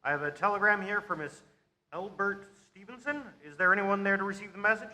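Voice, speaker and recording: Man acting a piercingly bright-voiced telegram clerk. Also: high-pitched. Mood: businesslike. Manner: formal, measured dictation. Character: businesslike telegram clerk reading out a message. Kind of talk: radio drama acting.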